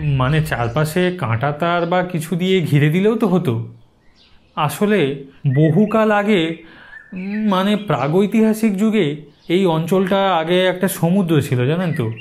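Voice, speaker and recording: A man's voice: sits high, measured pace, long pauses, darkish tone, a little hiss or hum. A man narrating a horror story in a theatrical, suspenseful style. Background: background music.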